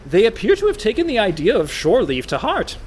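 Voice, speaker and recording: Fretful, high-voiced con man. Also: huge pitch swings, a little fast, slight background noise. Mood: sardonic. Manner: dry, exasperated, worrying. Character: fretful, sardonic con man posing as a pirate merchant. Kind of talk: comic radio play acting.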